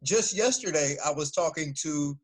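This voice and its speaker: A man in his forties, a former fighter, telling stories in a relaxed, casual interview and laughing often. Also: a little high, moderate pace. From United States.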